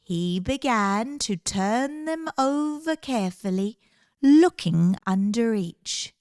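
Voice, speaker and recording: Female storyteller, higher-pitched voice, very unhurried pace, gentle expressive read-aloud, children's tale. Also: no echo, clean studio sound.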